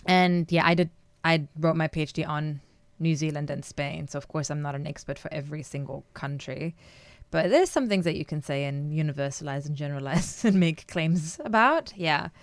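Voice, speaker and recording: A woman with a low, smooth voice talks through her views in a relaxed interview, laughing often as she explains. She grew up in Germany after being born in Iran. The sound is close and dry, with no room around it. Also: normal speed, no room sound. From Iran, raised in Germany. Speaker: academic guest. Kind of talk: casual interview conversation.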